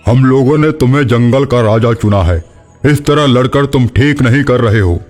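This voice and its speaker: A man's voice: mid-range voice, moderate pace, a little mellow, close to an everyday voice. A man voicing an earnest, reasonable elephant in a children's cartoon. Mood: reproachful, conciliatory. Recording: music behind the voice.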